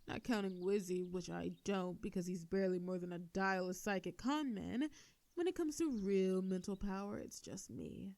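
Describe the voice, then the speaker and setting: A woman's voice: mid-range voice, gravelly, a little mellow, lightly acted. A woman playing a sardonic telepathic supervillain in a scripted audio drama, with a theatrical, teasing way of drawing out her words. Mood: mocking, menacing. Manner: theatrical, taunting, drawn-out words. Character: sardonic female telepathic supervillain, menacing yet softening.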